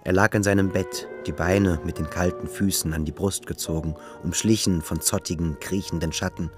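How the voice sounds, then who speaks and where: Male narrator for a literary radio play: low register, scripted prose, music clearly underneath. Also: steady tone, moderate pace.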